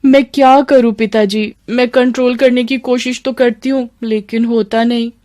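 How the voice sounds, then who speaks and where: A woman's voice: on the high side, fairly even intonation, normal speed, darkish tone, voice pushed up, close to an everyday voice. A woman voicing a young bride and daughter, sheepish and whiny, with a pleading, innocent manner. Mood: apologetic.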